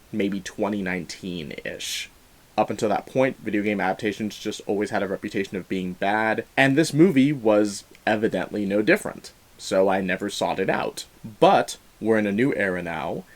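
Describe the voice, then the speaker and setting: A young man hosting a film review, talking in a conversational, wry and emphatic way through semi-scripted commentary. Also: fairly low pitch. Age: young adult.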